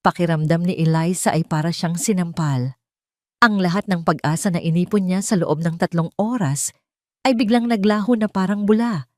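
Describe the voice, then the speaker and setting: A woman's voice: lowish voice, moderate pace, no room sound. Filipino woman narrating drama, close dry sound. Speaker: story narrator. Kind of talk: scripted dramatic audio story narration.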